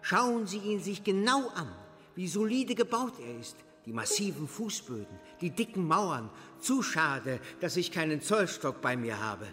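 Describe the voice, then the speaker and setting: An actor playing a pompous, self-important small-town pharmacist in a radio play, with an emphatic, declaiming manner. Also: male voice, sits high, lively intonation, measured pace, few pauses, fairly bright tone, faint music behind. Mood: pompous, pleased. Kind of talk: German radio play acting. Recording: long hall reverb.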